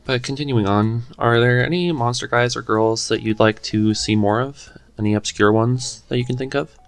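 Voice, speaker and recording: A man's voice: mid-range voice, fairly even intonation, normal speed, clean tone, soft background music. A man hosting a casual interview, easygoing and encouraging as he talks. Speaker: podcast host, interviewer.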